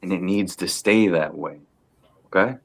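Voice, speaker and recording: A man reading the part of a tough, watchful, gruffly protective uncle from a crime family at a film table read. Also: deep voice, unhurried, a bit bright, exaggerated voice. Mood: firm. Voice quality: gravelly.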